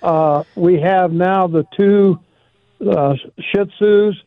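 A man's voice: sits high, no echo. Hesitant male caller on a tinny phone line. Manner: halting, many fillers, loses his words.

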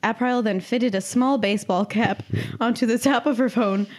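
A woman's voice: on the high side, moderate pace. A woman in her twenties, a giggly podcast host reading her comic writing and chatting casually. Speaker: podcast host and amateur writer. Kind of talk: reading own comic story, casual chat.

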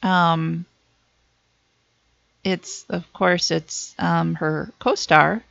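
A woman's voice: fairly low pitch, flat delivery, drawn-out words, vocal fry, a bit bright, no echo, dull audio. A woman answering quiz questions casually, tentative and questioning as she guesses. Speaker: trivia contestant.

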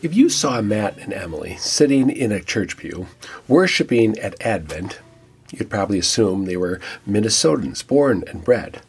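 A man's voice: lowish voice, very animated, moderate pace, husky, soft background music. A man hosting a church podcast, telling a guest's story in scripted narration with a reflective, lightly joking manner. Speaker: podcast host and church pastor.

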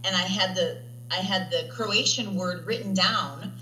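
A chatty woman teacher with a bright, clear voice that keeps asking "right?" as she talks. She sits in an echoey room with a faint hum underneath. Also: fairly low pitch, unhurried. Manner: chatty, tags statements with "right?". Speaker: language teacher. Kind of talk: interview conversation.

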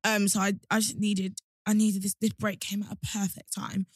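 A woman in her twenties with a hoarse throat, co-hosting a studio podcast, blunt and slangy with a lot of swearing. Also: medium pitch, level tone, normal speed, no echo. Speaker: podcast co-host. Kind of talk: casual chat. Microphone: studio mic.

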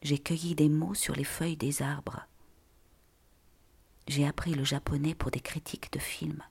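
A woman reading literary texts in a breathy, whispery voice with deliberate, measured phrasing. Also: sits low, flat delivery, darkish tone.

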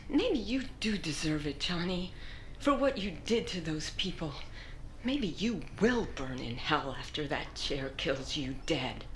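A woman playing an anguished, doting mother in an audio drama, pleading and sorrowful, often hushed. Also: deep voice, big pitch swings, unhurried. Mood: bitter. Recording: clearly noisy background ambience.

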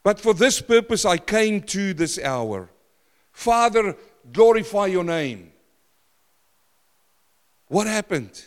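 Loud, emphatic preacher in a reverberant hall. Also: male voice, high voice, sing-song, takes it slowly, long pauses, smooth. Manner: loud, emphatic, swings from shouting to hushed. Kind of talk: sermon. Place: church.

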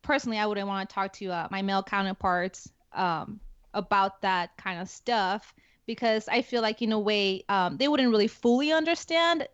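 A woman's voice: medium pitch, moderate pace, fairly bright tone, clear, resonant tone, no room sound. A young adult woman with a Spanish accent, a guest in an interview, talking casually with many fillers and restarts. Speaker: guest, security forces NCO. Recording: dull lo-fi dry sound.

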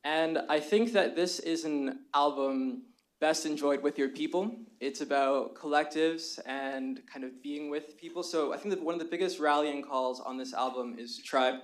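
A man with a somewhat high, slightly hoarse voice runs a panel discussion in a room full of listeners. He explains things step by step, with casual fillers like "kind of" and "um.". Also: normal speed. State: slightly hoarse from singing the night before. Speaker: moderator of a campus panel. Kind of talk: moderating a live panel discussion. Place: lecture room with a live audience.

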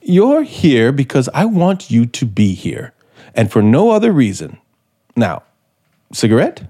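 An actor playing a brooding, manipulative scriptwriter in 1950s radio suspense style, probing deliberately with dramatic pauses. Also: male voice, mid-range voice, wildly swooping pitch, mellow. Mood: probing, wry. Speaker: actor in the lead role. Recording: 1950s radio broadcast, music behind.

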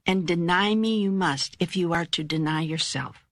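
An older woman voicing God as a calm, wise, authoritative teacher in an audiobook, with measured, emphatic phrasing and a husky voice. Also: fairly low pitch, no echo, studio quality, close to an everyday voice. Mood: firm, admonishing. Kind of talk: audiobook dialogue reading.

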